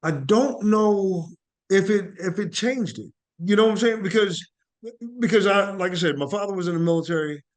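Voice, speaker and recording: A man's voice: high voice, moderate pace. A man answering as an interview guest, speaking in a reflective, conversational way and explaining earnestly with emphatic repetition. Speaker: interview guest, actor and playwright.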